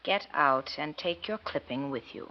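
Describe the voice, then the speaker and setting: An actress playing a cool, guarded, scheming American femme fatale in a 1940s radio drama, speaking curtly with a seductive edge. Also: female voice, deep voice, normal speed. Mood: cold, defiant. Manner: curt, guarded, coolly seductive. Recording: muffled 1940s radio recording.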